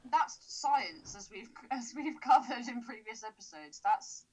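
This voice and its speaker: A woman with a fairly high voice co-hosting a podcast in casual conversation. She questions things and picks apart the logic point by point, with some casual swearing. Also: lively intonation, measured pace, a loud crowd, poor audio. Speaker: podcast co-host. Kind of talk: casual film discussion.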